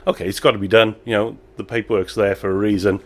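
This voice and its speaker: A man who owns a small brewery, chatting in an interview with a relaxed, self-deprecating manner and frequent laughter. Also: on the low side, fast. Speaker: brewery owner. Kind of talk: casual interview chat.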